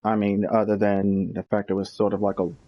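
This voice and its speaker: A man's voice: fairly low pitch, completely flat delivery, fairly quick, dull audio. A man giving sarcastic, grumbling live commentary as he streams a video game. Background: game music.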